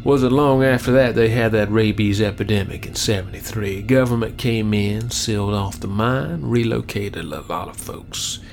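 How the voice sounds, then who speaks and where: Folksy older trucker, protective and wry, low gravelly delivery, audio drama. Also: male voice, moderate pace, few pauses, faint music behind. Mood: ominous storytelling. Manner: folksy, drawling storyteller, wry. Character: gritty, folksy older male trucker protecting two young women.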